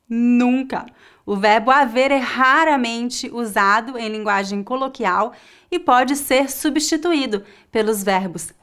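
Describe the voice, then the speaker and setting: Slow, clear Brazilian woman teacher. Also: fairly high pitch. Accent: Brazilian Portuguese. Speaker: Portuguese teacher. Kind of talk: scripted online language lesson.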